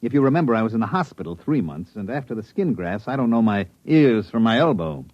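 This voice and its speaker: An actor playing a wry, skeptical planetary surveyor in a radio drama, narrating and talking in a dry, conversational way. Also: male voice, fairly low pitch, sing-song, quick pace, soft background music. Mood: wry, grumbling. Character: wry, skeptical male planetary surveyor telling his misadventure. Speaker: narrator and lead character. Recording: muffled old radio drama recording.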